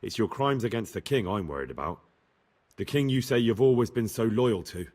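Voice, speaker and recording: Game cutscene: an earnest young squire, low husky voice, lively pitch. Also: male voice, fairly quick. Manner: earnest, dutiful. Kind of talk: video game cutscene acting.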